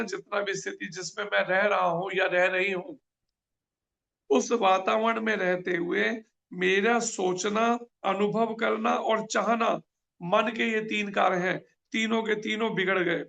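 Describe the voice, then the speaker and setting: A man's voice: high voice, creaky, a bit bright. A man preaching a scripture class, earnest and full of rhetorical questions.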